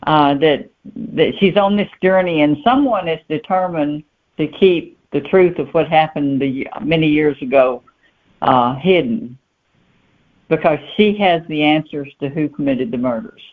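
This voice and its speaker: A woman author giving casual interview answers in a halting way, repeating words as she thinks. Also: sits low. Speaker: author guest. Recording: narrow tinny phone line.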